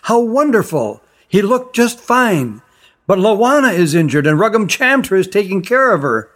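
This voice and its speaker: A man voicing an eager young heroine in a storybook, talking in excited, breathless exclamations. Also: high voice, normal speed, pitched above the performer's own voice, full character voice.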